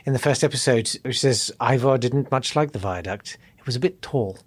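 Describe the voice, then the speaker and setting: A British man, a podcast guest, chatting fondly and wistfully about a childhood cartoon, soft and sentimental. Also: medium pitch, a little fast, breathy edge. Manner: wistful, emotional storyteller. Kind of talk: casual chat.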